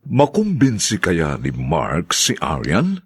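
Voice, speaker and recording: Deep, gravelly Filipino radio drama announcer. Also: male voice, wildly swooping pitch, slow, no room sound. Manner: formal, theatrical delivery.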